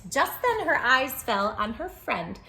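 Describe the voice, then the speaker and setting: A woman's voice: high-pitched, very animated, takes it slowly, creaky, sharp tone. A woman hosting a children's storytime, reading aloud expressively with dramatic emphasis and speaking directly to young listeners. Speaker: children's book read-aloud host. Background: music.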